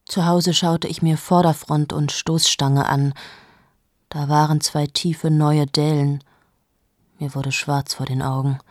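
Cold, husky late-30s journalist. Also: female voice, low voice, flat delivery, takes it slowly, darkish tone, no room sound, studio quality. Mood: brooding. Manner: intimate, addresses the listener, slips into whispers. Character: cold amoral female journalist, 38, confessing. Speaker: radio drama lead. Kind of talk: radio play monologue.

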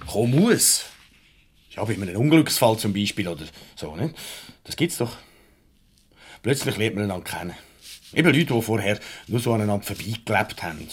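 A patronising middle-aged Swiss German boss, halting and ingratiating in radio comedy style. His rough, husky voice jumps up and down a great deal. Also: on the low side, sing-song. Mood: awkward, ingratiating. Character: awkward, patronising married male boss with a secret. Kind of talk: light radio comedy play acting.

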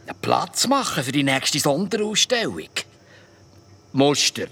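An actor with a Swiss German accent playing a terse, evasive museum caretaker in a children's detective radio play. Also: male voice, a little high, wildly swooping pitch, a little slow, fairly bright tone, breathy edge, full character voice. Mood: evasive, gruff. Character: male museum caretaker, startled and evasive, plain-spoken.

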